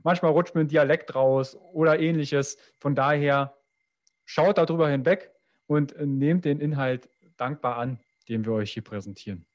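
A man hosting an interview podcast, thanking his guest and pointing listeners to the show notes in a friendly, brisk manner. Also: a little high. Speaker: podcast host.

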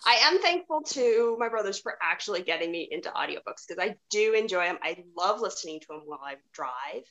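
A woman's voice: on the low side, animated, fairly quick, fairly bright tone. A woman chatting casually as a podcast panelist, rambling along and peppering her sentences with "like". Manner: rambling, frequent "like". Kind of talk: casual chat.

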